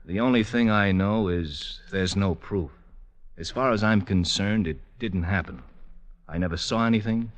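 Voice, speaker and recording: An actor as a low-voiced, stubborn military officer. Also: male voice, normal speed, close to an everyday voice. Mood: firm, resigned. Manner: official, matter-of-fact, firm. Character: sober, stubborn male lieutenant, dutiful and unyielding. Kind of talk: 1950s radio drama acting.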